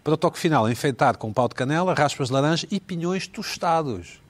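Fast-talking man reading emails. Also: fairly high pitch, animated. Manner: fast, playful, reads messages aloud with teasing asides. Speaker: host reading listener emails. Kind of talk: casual comedy panel chat.

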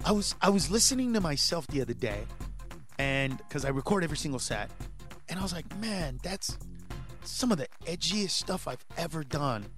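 Animated comedian man with music under. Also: a little high, normal speed. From Honduras. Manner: animated, rising to shouts when acting out bits. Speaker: stand-up comedian, guest. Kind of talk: casual interview chat.

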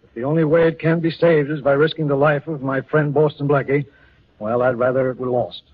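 A man's voice: mid-range voice, fairly even intonation, fairly quick, husky, lightly acted. An actor playing an agitated, stubbornly loyal businessman, earnest and distressed, in a 1940s radio drama. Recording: tinny, muffled 1940s radio recording.

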